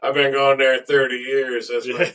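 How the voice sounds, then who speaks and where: A man's voice: mid-range voice, little pitch movement, normal speed, a bit bright, recorded from a distance. A middle-aged American man in a band, chatting casually and swearing easily as he tells enthusiastic stories. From United States. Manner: laid-back, swears casually, enthusiastic storyteller. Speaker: band member. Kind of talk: casual chat. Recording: voice picked up from across a room.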